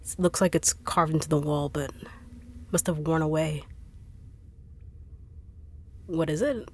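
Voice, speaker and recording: A woman's voice: deep voice, steady tone, unhurried, a little mellow. A woman voice actor performing a no-nonsense character in an acted audio roleplay, blunt and sarcastic.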